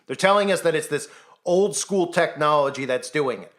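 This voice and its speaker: A man's voice: on the high side, moderate pace, a bit bright. A man giving informal online commentary in an insistent, rhetorical way, often tagging statements with a questioning check. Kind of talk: informal conspiracy commentary monologue.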